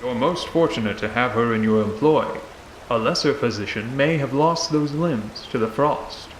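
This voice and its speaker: A man's voice: medium pitch, normal speed, a little hiss or hum, close to an everyday voice. A man playing a courteous, deferential retainer in a fantasy audio drama, with formal, archaic, respectful speech. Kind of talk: fantasy radio drama acting. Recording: echoey, faint rain ambience behind.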